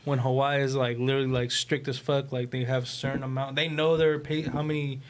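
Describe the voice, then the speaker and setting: A man's voice: medium pitch, flat delivery, a little fast. A young man in casual podcast chat, speaking in slang with some swearing. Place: small indoor room, group around table. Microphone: desk podcast microphone. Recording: slightly noisy small-room podcast recording.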